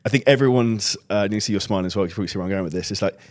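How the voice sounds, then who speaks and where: A low-voiced man hosts a podcast at a quick clip. His questions and remarks come in casual, blunt language with swearing mixed in. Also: darkish tone. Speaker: podcast host, online business coach. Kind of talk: casual interview chat.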